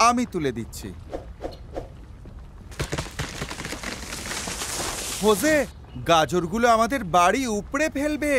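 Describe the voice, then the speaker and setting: Sensible, lively cartoon companion voiced by a man. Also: medium pitch, a little fast, noisy background, close to an everyday voice. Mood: alarmed. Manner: expressive, advising. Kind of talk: children's animated cartoon dubbing.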